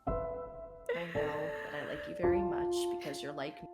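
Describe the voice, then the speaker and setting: Woman acting low-voiced, protective spaceship crewmate. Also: fairly even intonation, moderate pace, over loud music. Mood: gentle, reassuring. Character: calm, protective spaceship crewmate, reassuring and devoted. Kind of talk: dramatic science fiction voice acting.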